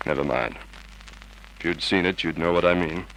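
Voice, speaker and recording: An actor playing a gruff, brusque police sergeant questioning people in an old radio drama. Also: male voice, low-pitched, moderate pace, a bit bright, a little hiss or hum, poor audio. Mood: brisk, probing. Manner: curt, questioning, commanding. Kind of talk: Golden Age radio drama acting.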